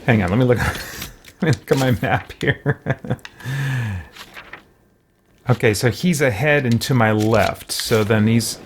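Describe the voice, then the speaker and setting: A man's voice: medium pitch, a little slow, long pauses, slight background noise. Casual man muttering over a game. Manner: muttering, thinking aloud. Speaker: gaming streamer. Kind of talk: casual gameplay commentary. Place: small room at home.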